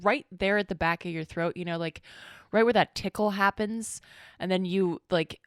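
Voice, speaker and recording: A woman's voice: lowish voice, moderate pace, clear, resonant tone, dry recording, clean studio sound. A woman co-hosting a political podcast in casual chat, sniffly from allergies, rambling and sardonic, with some swearing. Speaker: podcast co-host.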